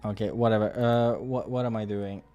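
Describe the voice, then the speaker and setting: A man's voice: on the low side, steady tone, normal speed, dark tone, dull audio, soft background music. A man hosting a visual novel playthrough, reading narration dramatically and commenting casually on the game. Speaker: gaming playthrough host.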